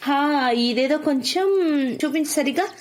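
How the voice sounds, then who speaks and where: A woman's voice: high-pitched, unhurried, pitched above the performer's own voice. A woman voicing an innocent, curious young schoolboy in a cartoon story, earnest and a little hesitant. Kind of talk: cartoon moral story acting.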